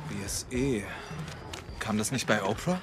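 An actor playing a cocky young monster hunter with a dry, flippant manner in a dubbed TV drama. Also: male voice, fairly low pitch, expressive, a little slow, noisy background. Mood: wry, flippant. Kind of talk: German-dubbed TV series acting. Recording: loud music over the voice.

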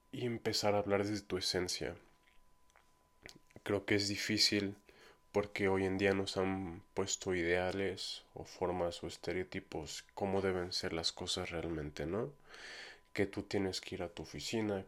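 A young man from Mexico with a Mexican Spanish accent, hosting his own podcast in a casual, rambling monologue full of fillers and restarts. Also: low-pitched. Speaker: podcast host.

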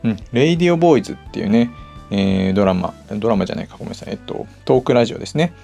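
A man with a fairly low voice talks quickly over background music. He hosts his own show in a casual, chatty way, speaking straight to listeners. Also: animated, gravelly, warm tone. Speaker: host of a personal audio show. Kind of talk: casual solo talk to listeners. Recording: music playing behind the voice.

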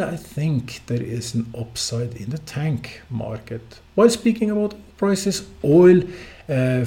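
A man from Norway with a Norwegian accent, hosting a solo podcast of financial market commentary in halting, filler-heavy phrasing. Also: on the high side, dark tone. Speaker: podcast host.

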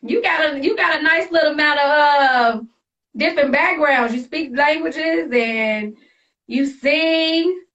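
High-pitched bubbly woman hosting, off mic. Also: measured pace, fairly bright tone, muffled recording. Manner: bubbly, slangy, reactive. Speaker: host interviewer. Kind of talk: casual hyped-up chat interview. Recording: distant mic with music behind.

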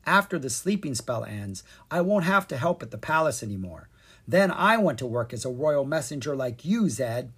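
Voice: mid-range voice, very animated, normal speed, breathy edge. A storyteller voicing a shy, insecure little boy fairy in a children's storybook, hesitant and faltering. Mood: wistful.

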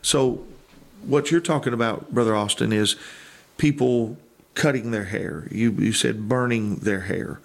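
Gravelly man teaching scripture. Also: mid-range voice. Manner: deliberate, explains word meanings. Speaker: holiness preacher on another podcast.

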